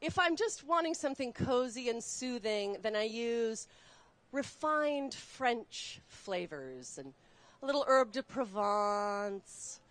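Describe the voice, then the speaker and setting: A woman chef raised in Texas, explaining a recipe step by step while cooking on a live show, chatty and quick to laugh. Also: a little high, animated, a bit bright, dry recording. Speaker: guest chef. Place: studio kitchen.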